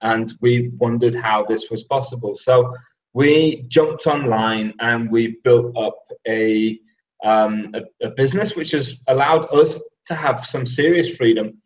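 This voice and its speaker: A man co-hosts a live web presentation from far away, chatting to viewers in a loose, friendly way with lots of fillers. His voice sounds distant from the mic and dull, as if it is coming through a remote call. His pitch is ordinary. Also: unhurried. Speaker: co-host, business partner. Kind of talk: casual live-stream presentation. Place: Saigon, Vietnam, at night.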